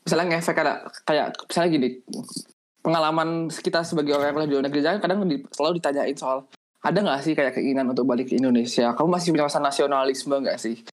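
A man's voice: fairly high pitch, steady tone, quick pace. A man interviewing a guest casually, asking long rambling questions and nodding along with short replies.

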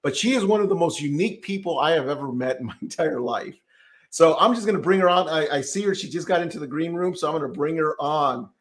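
A man with a slightly higher-pitched voice hosts a relaxed podcast chat. He rambles and restarts his sentences, scattering ums through his talk. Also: quick pace. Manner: filler-heavy, rambling, frequent restarts. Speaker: podcast host, tax debt consultant. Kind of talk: casual podcast interview.

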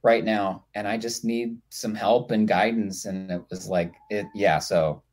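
A man with a distinctly low-pitched voice. He talks as a writer in a panel discussion, in a casual, anecdotal style drawn from personal experience. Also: fairly even intonation, unhurried, a little mellow, faint music behind.

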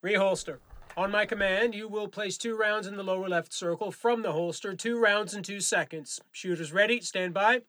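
An actor playing a firm but encouraging firearms range instructor in an audio drama, giving clipped commands with genial authority. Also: male voice, high-pitched, moderate pace, background noise, natural voice. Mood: commanding. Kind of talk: naturalistic audio drama acting.

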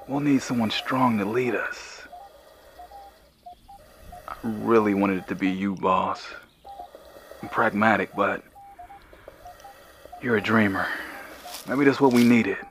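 A man playing a ruthless, pragmatic facility operative with a gravelly, terse, weary delivery in an audio fiction podcast. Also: on the low side, a bit bright, slight background noise.

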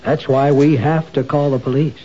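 A man's voice: medium pitch, fairly even intonation, normal speed, lightly acted. An actor playing a worried husband who presses his wife to go to the police, in a 1970s radio drama. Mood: earnest. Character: worried middle-aged husband, city official. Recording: dull old radio broadcast with hiss.

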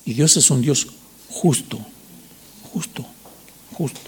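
A man in his sixties with a Chilean accent preaching a sermon, teaching didactically with emphatic repetition and short check questions, in a gravelly, husky voice. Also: medium pitch, takes it slowly, a little hiss or hum. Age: 60s. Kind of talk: sermon with Bible reading and commentary.

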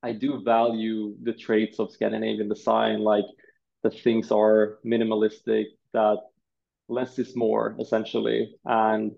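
A Swedish man in his thirties, a designer answering interview questions in a thoughtful, halting way, often repeating words. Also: lowish voice, vocal fry, mellow, lo-fi sound. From Sweden.

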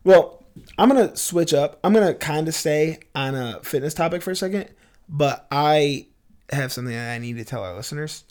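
Higher-pitched man chatting casually. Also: animated, moderate pace, darkish tone. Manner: casual, profane, filler-heavy. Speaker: podcast co-host, fitness trainer. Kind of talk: casual podcast chat. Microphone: studio mic at the table.

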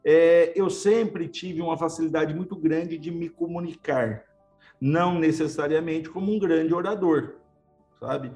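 Man, high voice, casual reflective talk, faint music behind. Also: a little slow, a little mellow. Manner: talks in short restarting phrases, reflective. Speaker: lawyer. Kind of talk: casual conversation.

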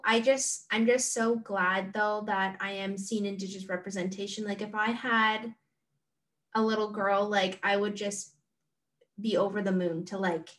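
Halting woman co-host, set back from the mic. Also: mid-range voice. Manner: halting, gentle, many fillers and restarts. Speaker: podcast co-host. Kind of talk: casual conversation. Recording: distant from the mic.

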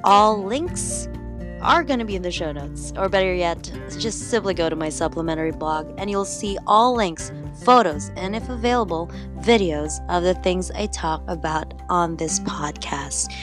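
Woman, young adult, slight Filipino accent, chatty podcast host, fairly low voice. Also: normal speed, over music. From Philippines. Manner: chatty and upbeat. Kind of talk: casual solo podcast chat.

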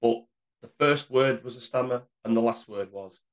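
A man's voice: medium pitch, moderate pace. A man chatting on a podcast, talking in a reflective way about how his stammer feels. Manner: reflective, speaks of own stammer. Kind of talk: casual podcast chat.

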